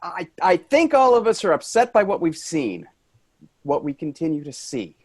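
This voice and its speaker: An exasperated office worker who tries to keep the peace, acted by a man. He is earnest and reproachful, with very mobile pitch, in a staged play reading. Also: sing-song, moderate pace, slightly rough. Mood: upset, pleading.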